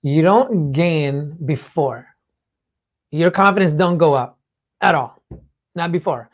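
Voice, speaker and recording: A co-host in a casual, opinionated chat, speaking bluntly and haltingly, often checking in mid-thought and swearing casually. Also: medium pitch, animated. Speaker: talk show co-host.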